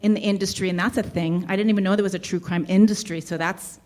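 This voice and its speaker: A woman's voice: medium pitch, fairly even intonation, fast talker, a little mellow. A woman with a light Boston accent hosting a live podcast show in a brewery, talking in a chatty, easygoing way. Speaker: podcast host.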